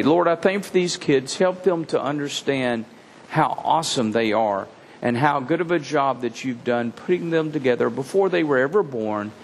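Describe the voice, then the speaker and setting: A man's voice: a little high, creaky, slight background noise. A man giving a children's sermon, earnest and addressing the kids directly. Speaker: children's pastor. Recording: hall reverb with faint ambience.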